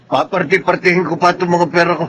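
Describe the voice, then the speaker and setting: A man's voice: high-pitched, fairly even intonation, fast, crowd noise, lightly acted, poor audio. A man playing a boastful, wealthy trucking businessman in a radio drama, talking smugly. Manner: smug and boastful. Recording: radio drama with music underneath.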